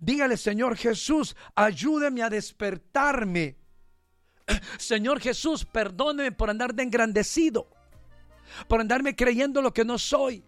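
Very high-voiced joking preacher man. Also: slow, a bit bright, no room sound, faint music behind. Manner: fervent, joking, conversational. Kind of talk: sermon and led prayer.

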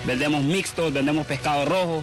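Upbeat fast-talking Honduran coastal vendor. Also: male voice, fairly high pitch, steady tone, music in the background. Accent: Honduran Caribbean coast. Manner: upbeat, chatty, mixes English words. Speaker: street food vendor.

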